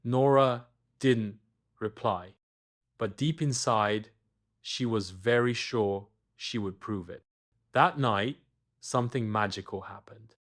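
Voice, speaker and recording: A man's voice: lowish voice, slow. A man reading a scripted children's bedtime story as the storyteller.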